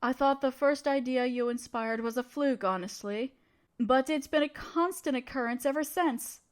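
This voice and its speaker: A woman's voice: high-pitched, moderate pace, clear, resonant tone, natural voice. A woman playing a haughty, scheming evil empress in a scripted audio roleplay, speaking imperiously and theatrically to a listener. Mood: gleeful, plotting.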